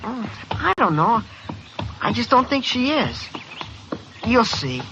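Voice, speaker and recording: Radio western ranch kid, acted: rural American, folksy and earnest, very animated delivery, dull hissy old recording. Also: medium pitch, slow pace. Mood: earnest, confiding. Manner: naive, folksy, plain-spoken. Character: eager, earnest young frontier ranch boy.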